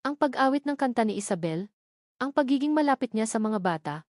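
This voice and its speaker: A woman's voice: a little high, animated, brisk, a little mellow, no room sound, clean studio sound. A woman narrating a scripted dramatic story.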